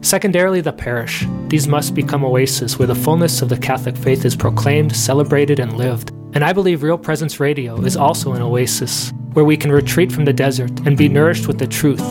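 An American man of medium pitch speaks as a priest over clear guitar music. He delivers a scripted, reflective radio message. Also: a little fast, few pauses.

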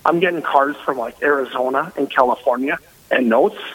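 A man, a parish priest, speaking loudly and energetically as a guest on a radio interview. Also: mid-range voice, normal speed, breathy edge, low-quality recording. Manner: loud, energetic and emphatic.

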